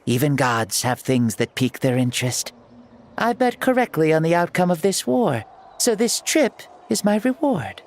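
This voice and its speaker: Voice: medium pitch, very animated, normal speed. An actor playing an ancient hero revived in a boy's body in a video game, breezy and playful, with a wry storytelling manner. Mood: breezy, curious. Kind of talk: dramatic video game voice acting. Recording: game music behind the voice.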